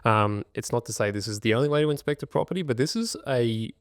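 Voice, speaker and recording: An Australian man hosting a casual podcast about buying property, talking energetically with a naturally loud voice that he keeps in check. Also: mid-range voice, fast, no echo, clean studio sound. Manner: loud, energetic talker reining in his volume. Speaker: podcast host and buyer's agent.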